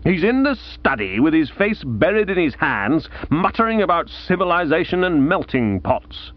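A man's voice: a little high, big pitch swings, normal speed, muffled recording, voice pushed up. A man voicing an exasperated middle-aged aunt who scolds her nephew theatrically. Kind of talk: audiobook character voice.

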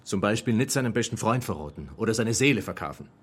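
An actor playing an ambitious, proud and evasive mountain-born filmmaker in a German radio play. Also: male voice, on the low side, expressive, fast, husky. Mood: defiant, proud.

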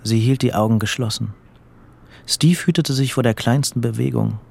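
A man narrating a literary radio play in a measured, deliberate and dramatic way. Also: medium pitch, vocal fry, a little mellow.

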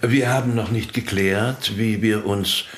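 Slow, measured actor as a churchman, echoey room. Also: fairly low pitch, steady tone, close to an everyday voice. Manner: deliberate, formal. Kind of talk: radio play acting. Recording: echoing, reverberant room.

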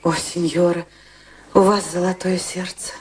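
An actress playing a talkative, anxious, deferential maid in a radio drama. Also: female voice, on the low side, little pitch movement, measured pace, a little hiss or hum, lightly acted. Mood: grateful. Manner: deferential, chatty.

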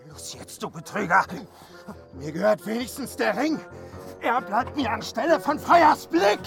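Hot-headed cheated giant, blustering, gravelly, fantasy radio play w music behind. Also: male voice, extremely high pitch, big pitch swings, unhurried, full character voice. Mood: furious. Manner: blustering, agitated.

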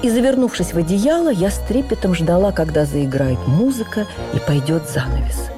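A woman reading a memoir for radio as an actress, with measured, expressive, theatrical storytelling. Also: on the low side, over music.

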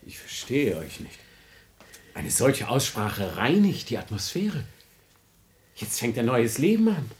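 An actor playing an earnest, zealous idealist in a radio play, exhorting and preachy. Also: male voice, mid-range voice, wildly swooping pitch, unhurried, long pauses, a little mellow. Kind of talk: classic German radio play acting.

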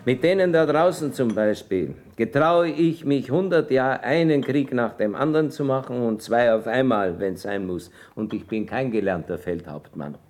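A man's voice: medium pitch, expressive, normal speed, hardly any pauses, darkish tone, lightly acted. Man acting pompous worldly army preacher in a radio play, cynical, voice swings up and down a lot. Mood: emphatic, dismissive. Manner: pompous, declamatory. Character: boastful, cynical army chaplain, worldly and wordy. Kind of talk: stage-style German radio drama acting.

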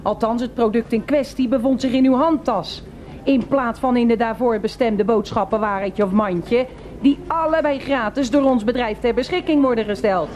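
An actress plays a pompous female store detective in a radio drama. Her voice is on the high side, her phrasing stiff, formal and long-winded, as befits an unbending bureaucrat. A faint noise lies behind her. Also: moderate pace, darkish tone, natural voice. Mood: officious. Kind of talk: radio play acting.

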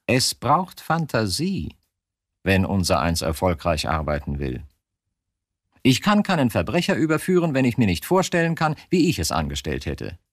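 An actor in a radio drama playing a troubled, probing chief of criminal police who questions insistently. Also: male voice, on the low side, huge pitch swings, moderate pace, dry recording, studio quality. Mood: animated, probing. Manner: interrogating, insistent short questions.

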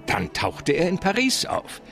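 An actor playing an effusive, wily gentleman mastermind in a German crime radio play, lecturing in florid, theatrical phrases. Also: high-pitched, huge pitch swings, measured pace, creaky, soft background music, heavily acted. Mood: savoring, conspiratorial.

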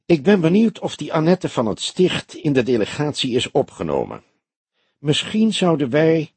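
A male narrator acts out an old police detective, courteous and gently mocking. The husky voice moves in lively swings with solemn, measured phrasing, in a dry audiobook recording. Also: a little high, no echo, pitched above the performer's own voice, exaggerated voice. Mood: curious. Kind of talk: audiobook character dialogue.

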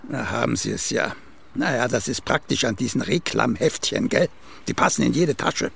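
An actor playing a vain, aging, once-celebrated playwright in a radio play, condescending and pompous, with a gravelly, husky voice and drawn-out emphasis. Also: male voice, medium pitch, lively intonation, a bit bright, a little hiss or hum. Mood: boastful, expansive. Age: older. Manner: pompous, self-satisfied, drawn-out emphatic delivery.

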